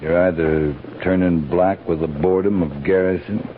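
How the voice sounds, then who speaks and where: An American actor playing a gruff, world-weary cavalry captain in a 1950s radio western. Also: male voice, deep voice, steady tone, normal speed, natural voice. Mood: wry, weary. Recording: tinny, noisy old radio recording.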